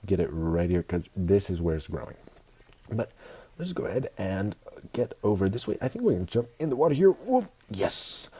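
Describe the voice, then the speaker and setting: A young man with a stuffy nose from a cold, casually narrating his building game, excitable and fond of repeating words. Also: low-pitched, slightly rough. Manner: excitable, repeats words, keeps saying guys. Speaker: gaming video host. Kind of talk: casual Minecraft commentary.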